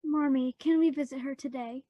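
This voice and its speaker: A woman's voice: high voice, steady tone, normal speed, dark tone, dry recording, close to an everyday voice. A woman playing a remorseful, pleading young girl, the little sister in a radio play. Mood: anxious.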